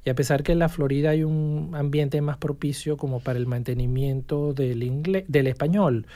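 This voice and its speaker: A professor answers interview questions in measured, explanatory sentences. The voice is mellow and dark-toned at a middle pitch. Phrases are often restarted mid-thought. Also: fairly even intonation. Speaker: university language professor, guest. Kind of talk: casual interview chat.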